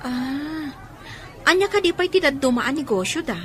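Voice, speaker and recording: A woman's voice: high voice, expressive, normal speed, a bit bright, a faint crowd, a little hiss or hum. A woman playing an inquisitive young student and shop worker in an Ilocano radio drama, with an Ilocano accent. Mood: curious, friendly.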